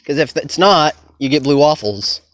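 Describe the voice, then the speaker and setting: A man's voice: a little high, animated, normal speed, a bit bright. A man trading crude jokes and improvised rhymes outdoors on a forest trail, laughing often, recorded on a handheld phone. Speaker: joker in a prank video.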